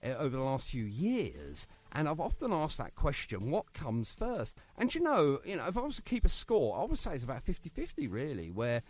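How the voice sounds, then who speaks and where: Chatty radio host, animated. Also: male voice, a little high, moderate pace, hardly any pauses. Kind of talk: casual radio interview. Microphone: phone line to guest.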